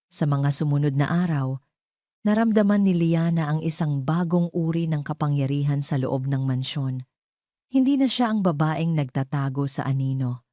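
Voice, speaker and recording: A woman's voice: low voice, warm tone, dry recording, studio quality. A woman narrating a scripted dramatic story as an audio drama narrator.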